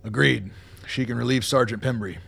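An actor playing a weary, gruff old colonel in a radio play, with a gravelly voice and a blunt, folksy, wry way of talking. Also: male voice, medium pitch, brisk, fairly bright tone, exaggerated voice. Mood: brisk, commanding. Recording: noticeable background noise.

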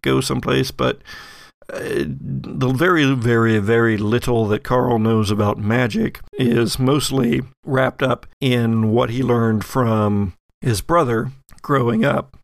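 A man's voice: mid-range voice, gravelly, no room sound. A man narrating as game master of a horror tabletop role-playing game.

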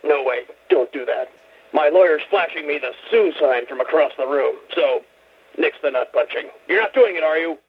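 Radio comedy boss: high-pitched, badly rasping, irate and sarcastic, heard over a telephone. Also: male voice, moderate pace. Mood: blustering, sardonic. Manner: bullying, sarcastic barking. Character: irate, blustering record-label boss, male, crude. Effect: telephone filter. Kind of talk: comedy radio play acting. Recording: muffled telephone-style sound.

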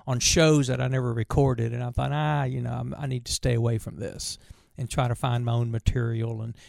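A man in his sixties from tells stories in a folksy, rambling way, often stopping and starting over. His voice is gravelly and dry, with no room sound around it. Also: medium pitch, flat delivery, fairly quick, a little mellow, no room sound. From Virginia, USA. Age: 60s. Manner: folksy, rambling, with many restarts. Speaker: bluegrass musician guest. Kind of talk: casual storytelling interview.

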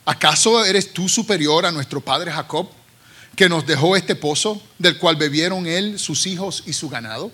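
A woman's voice: on the low side, normal speed, bright, forceful tone, slightly rough. A man with a Puerto Rican accent reading the lines of a questioning Samaritan woman at a well, his voice lifted a little.

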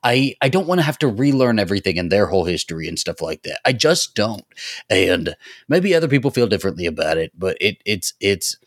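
A man's voice: on the low side, very animated, a little fast, no echo, studio quality. A man talking casually as a podcast panelist, earnest and emphatic, repeating himself to make his point. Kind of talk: casual chat.

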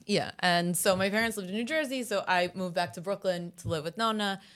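A woman in her thirties with Italian as her first language, chatting as a podcast guest. Her voice sits at an ordinary middle pitch for a woman, and she tells her stories with a lively, self-mocking wit. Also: brisk. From USA. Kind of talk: casual interview chat.

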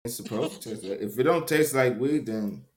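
A man's voice: medium pitch, normal speed. A man chatting casually, brash and crude, always joking around. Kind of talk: casual chat.

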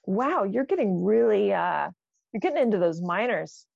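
A woman with a noticeable vocal fry chats as a podcast co-host. She reads out items and muses over them encouragingly. The recording is dry and a bit muffled. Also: mid-range voice, very animated, moderate pace, a little mellow, no room sound. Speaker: podcast co-host, quiz reader. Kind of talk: casual banter, reading out quiz items.

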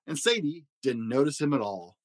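A man narrating a children's picture book in an expressive, dramatic storytelling manner. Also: medium pitch, normal speed, no echo. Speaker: story reader.

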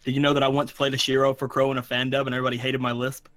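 Fast-talking man in casual game chat. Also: mid-range voice, monotone, a crowd in the background. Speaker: tabletop game player.

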